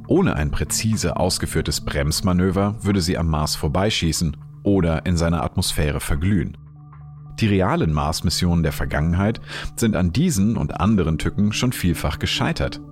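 A man hosting a science podcast, narrating from a script in a clear, explanatory way. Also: low voice, darkish tone. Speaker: podcast host and science journalist.

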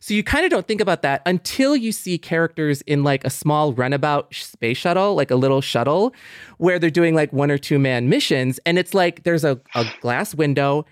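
A man's voice: a little high, lively intonation, moderate pace, clear, resonant tone, no room sound. Man thinking aloud, dry close sound. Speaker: podcast co-host. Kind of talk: casual reflective discussion.

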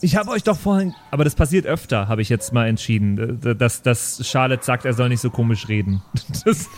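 A man chatting casually as a player in an improvised role-play game, halting and restarting as he explains. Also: mid-range voice, expressive, a little hiss or hum. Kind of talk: casual improvised table talk.

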